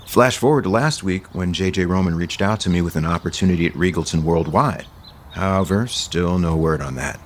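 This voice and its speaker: A man's voice: sits low, a little fast, a little hiss or hum, close to an everyday voice. An actor playing a guarded, self-assured male wellness coach in an audio soap opera.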